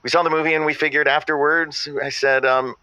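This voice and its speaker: A man's voice: on the high side, flat delivery, a little fast, sharp tone, clear, resonant tone, no echo, lo-fi sound. A man playing a fussy, pedantic boyfriend in an audio comedy, precise and argumentative as he corrects his partner. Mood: recalling, precise. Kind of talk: scripted audio comedy drama.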